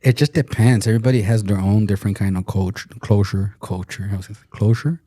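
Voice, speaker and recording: A man in his forties with a low, dark voice co-hosts a casual podcast. He talks bluntly, jokes around, and swears freely. The sound is dry. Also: steady tone, fast, dry recording. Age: 40s. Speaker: podcast co-host. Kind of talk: casual chat.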